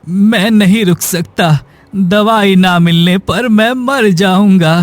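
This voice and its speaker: A man's voice: high-pitched, slow, no room sound. A man playing an ailing, hunchbacked poor man in a cartoon moral story, plaintive, melodramatic and despairing. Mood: desperate. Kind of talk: dramatic Hindi cartoon story dialogue.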